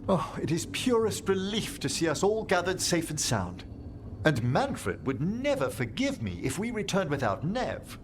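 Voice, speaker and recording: An actor voicing a courtly older professor of necromancy in a video game, speaking in formal, eloquent, philosophical phrases. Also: male voice, a little high, wildly swooping pitch, unhurried, a little hiss or hum. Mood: relieved. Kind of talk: video game character acting.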